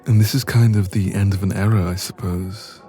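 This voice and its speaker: Male actor as insecure young man in ASMR roleplay: very deep, mellow, hesitant delivery. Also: steady tone, close to an everyday voice. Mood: downcast, vulnerable. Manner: hesitant, soft-spoken, trailing pauses. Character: insecure, anxious young man confiding in a friend. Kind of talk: intimate ASMR audio roleplay.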